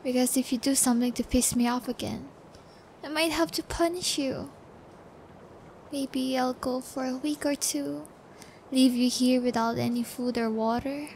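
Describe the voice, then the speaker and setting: A woman acting a sadistic young female captor in an ASMR audio roleplay, taunting and gloating with drawn-out, cruel menace. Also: high voice, steady tone, darkish tone, a little hiss or hum, poor audio. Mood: gloating control.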